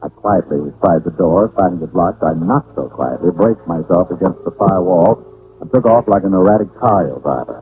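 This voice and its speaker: Low-voiced, wisecracking private eye. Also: male voice, normal speed. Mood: wry, deadpan. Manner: deadpan, wisecracking. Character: wry, wisecracking hard-boiled male private detective. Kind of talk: 1940s radio detective narration and dialogue. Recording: noisy, tinny old radio recording.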